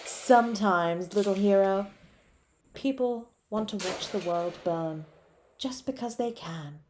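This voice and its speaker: A woman's voice: medium pitch, takes it slowly, noisy background, lightly acted. A woman playing a bored, taunting supervillain in a superhero audio roleplay, drawling and mocking theatrically. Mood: scornful menace.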